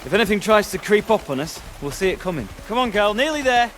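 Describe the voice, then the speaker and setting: A man's voice: high-pitched, sing-song, quick pace, noisy background. An actor playing a young male hero who reassures his companion with dry humor. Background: game score music.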